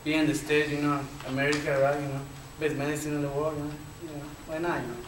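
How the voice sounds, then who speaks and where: A man's voice: a little high, level tone, fast. Chilean man telling his story, echoey hall. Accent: Chilean Spanish. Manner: stutters, frequent fillers. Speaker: panelist. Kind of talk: personal story at a panel discussion. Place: lecture hall. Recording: echoey hall from far off, faint noise.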